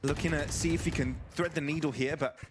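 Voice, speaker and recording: A man doing live play-by-play commentary on an esports match, conversational and breaking into excited calls. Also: on the high side, brisk, noisy background.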